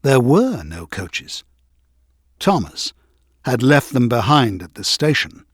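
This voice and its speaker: A man narrating a children's storybook in an expressive, measured way. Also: mid-range voice, breathy edge, no echo, studio quality. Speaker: audiobook narrator.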